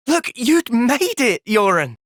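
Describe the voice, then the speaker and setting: A young man playing an earnest, thoughtful young soldier hero in a fantasy video game's cutscenes, with expressive delivery. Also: very high voice, slow, no room sound, clean studio sound, exaggerated voice. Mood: urgent, distressed. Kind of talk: video game cutscene acting.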